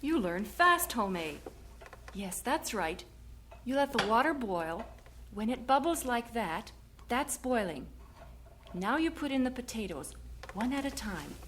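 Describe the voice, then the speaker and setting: A woman's voice: on the high side, very animated, measured pace, pauses often, a bit bright, slight background noise. A woman playing a patient missionary wife and mother in an audio drama, instructive and homely.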